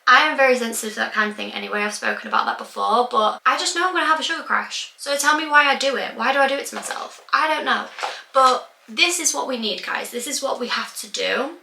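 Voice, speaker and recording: A young woman in her twenties with a croaky voice, rambling casually and swearing a bit as she talks to her camera. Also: on the high side, quick pace, sharp tone, reverberant, a little hiss or hum. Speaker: vlogger. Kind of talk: casual chatty vlog monologue. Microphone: camera mic.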